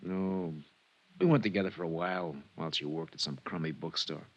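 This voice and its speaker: An actor playing a cool, guarded young jazz trumpeter in a 1950s radio drama, talking in clipped slang. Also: male voice, low-pitched, a little fast. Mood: guarded, dismissive. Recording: muffled 1950s radio recording.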